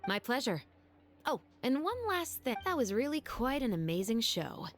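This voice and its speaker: A formal, dry patrol officer for a video game. Her voice sits a little high and moves expressively. Music runs underneath. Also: very animated, normal speed. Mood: softer, friendly. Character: stern, formal woman patrol officer, dryly exasperated. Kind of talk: polished video game voice acting.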